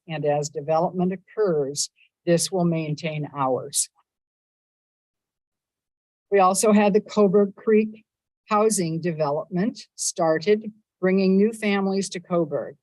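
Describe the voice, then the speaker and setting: A woman with a lowish, loud voice gives a prepared address in a council chamber. She moves through her points in a measured, upbeat way and leans on each item in a list. The sound is dry and close on the microphone. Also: no echo, low-quality recording. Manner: measured, upbeat, stresses lists of items. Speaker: mayor giving a state of the city address. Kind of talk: prepared civic speech. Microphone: council meeting microphone.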